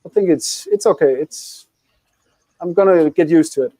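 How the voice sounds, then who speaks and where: Man, hesitant short answers, mellow voice, dry close sound. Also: on the high side, moderate pace, dry recording. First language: Danish. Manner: short, hesitant replies in non-native English. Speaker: guest. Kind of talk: casual live-stream chat.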